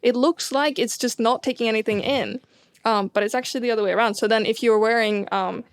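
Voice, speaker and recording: A woman's voice: a little high, brisk, no room sound. Chatty Swedish-accented woman, dry sound. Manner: enthusiastic, many fillers and restarts. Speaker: co-host, astronomer. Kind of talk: casual science chat.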